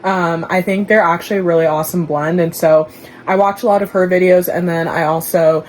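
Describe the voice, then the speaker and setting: Low-voiced chatty young woman vlogging. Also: fairly even intonation, brisk, slight background noise. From Dallas, Texas. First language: Igbo. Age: young adult. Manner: chatty, candid, rambling. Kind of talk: casual vlog chat to camera.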